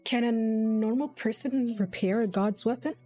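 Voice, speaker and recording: Female streamer, slightly high pitch, wry off-the-cuff gameplay reactions, light game music underneath. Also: moderate pace, warm tone. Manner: wry, sceptical, thinking aloud. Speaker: gaming streamer. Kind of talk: casual reaction commentary over gameplay.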